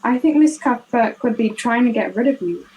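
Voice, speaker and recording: A woman's voice: fairly high pitch, lively intonation, normal speed, close to an everyday voice, rough recording. A girl of ten or eleven, playing a concerned, protective schoolgirl in a radio play. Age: child, 10 to 11. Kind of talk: children's radio play acting.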